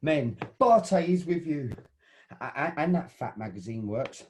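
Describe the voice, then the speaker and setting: A man's voice: a little high, expressive, measured pace, darkish tone, a little hiss or hum. An actor playing a rowdy football hooligan who swears and stammers as he tells the story. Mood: boastful. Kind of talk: satirical audio drama.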